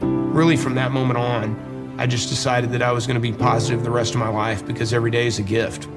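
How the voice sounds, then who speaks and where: A man's voice: medium pitch, little pitch movement, fast talker, loud music behind the voice. A man speaking earnestly and reflectively in a documentary-style interview.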